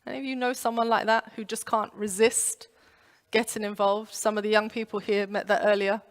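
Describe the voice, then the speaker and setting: A woman's voice: medium pitch, fairly quick. Woman preaching in a reverberant hall. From London. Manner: animated storytelling with acted-out inner voices. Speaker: pastor. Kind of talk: youth sermon. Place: church.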